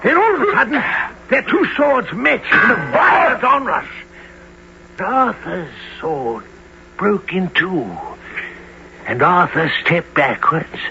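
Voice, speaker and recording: An actor playing an old wizard who narrates a knightly adventure in a grave, dramatic, drawn-out radio drama style. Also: male voice, a little high, lively intonation, vocal fry, a little hiss or hum, full character voice. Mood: dramatic narrating. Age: older. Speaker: narrator and character. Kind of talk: theatrical old-time radio drama storytelling. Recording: dull radio broadcast, loud music.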